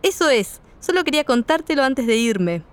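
A woman's voice: sits high, sing-song, brisk, smooth. A woman with an Argentine Spanish accent, playing a young woman telling a friend her news in a recorded dialogue.

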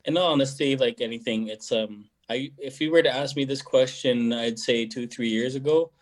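A young man speaks as an interview guest. He is thoughtful and unhurried in his answers. He often pauses with fillers and starts his sentences over. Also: medium pitch. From Philippines. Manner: reflective, hesitant, many fillers and restarts. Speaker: guest musician.

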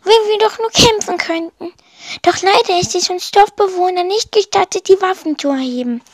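A woman's voice: very high voice, normal speed, no room sound, voice pushed up. A woman voicing a young villager boy from a storybook, a mocked noob who earnestly and dramatically longs to be a warrior. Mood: wistful, yearning.

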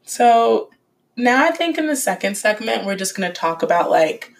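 A woman's voice: mid-range voice, sing-song, normal speed, creaky. Laughing woman co-host, casual chat. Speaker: podcast co-host.

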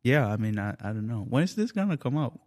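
A man's voice: medium pitch, sing-song, quick pace, a little mellow, no echo. A man chatting as a podcast guest, giggly and a little bashful. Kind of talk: casual podcast chat.

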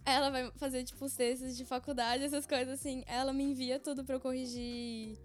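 A young woman chats in a relaxed, slangy way. Her voice is high and easygoing. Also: fairly quick, soft background music. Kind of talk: casual chat.

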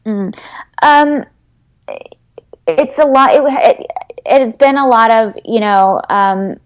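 A woman health coach as a guest in an interview, answering in an earnest, rambling way with many pauses. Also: a little high.

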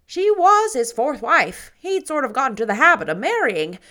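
A woman's voice: high voice, sing-song, normal speed, sharp tone, pitched above the performer's own voice, full character voice. A woman voicing a gossipy older woman who talks solemnly and emphatically, full of opinions. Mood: emphatic.

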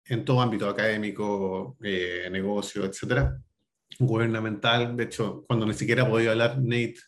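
A man's voice: on the low side, fairly even intonation, normal speed. A Chilean man in his thirties, a venture capital partner, speaking loosely in a casual interview with many fillers and restarts. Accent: Chilean Spanish.

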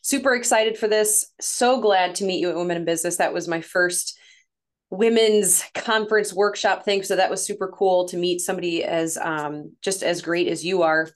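A woman in her thirties with a Midwestern US accent, a podcast guest who talks in a chatty way, laughs often and gets lively when quoting others. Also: on the low side, normal speed. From Missouri, USA. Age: 30s. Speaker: guest, women's coach. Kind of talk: casual podcast interview.

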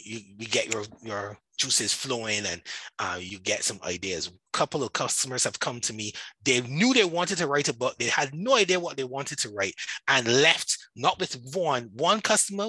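A man from Barbados with a Barbadian accent, chatting casually about his own work in an animated, emphatic way full of asides. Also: medium pitch, husky, no room sound, rough recording. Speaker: podcast guest, writer and self-publishing coach. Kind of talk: casual conversational self-promotion.

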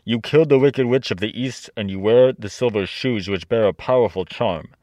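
A man voicing an imperious giant floating wizard head from a storybook, speaking in a stern, deliberate, commanding way. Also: mid-range voice, dark tone, dry recording, dull audio, natural voice. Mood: stern, demanding. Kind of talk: storybook character reading.

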